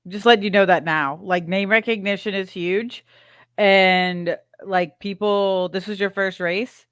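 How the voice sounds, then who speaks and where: A woman's voice: medium pitch, steady tone, unhurried, a bit bright, clear, resonant tone, no echo. Woman from louisiana, casual chat, repeats stuff for emphasis, super dry sound. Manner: emphatic, repeats herself for stress.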